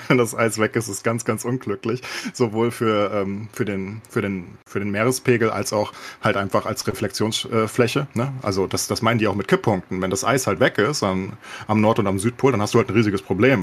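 A man explaining things in casual podcast chat, rambling and colloquial, dropping in English slang. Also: lowish voice. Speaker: podcast co-host.

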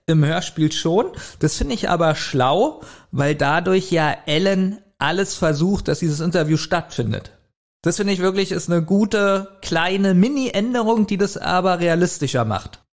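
Higher-voiced man in casual podcast chat. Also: expressive, measured pace. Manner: chuckles often while talking. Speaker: podcast co-host. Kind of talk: casual chat reviewing an audio drama.

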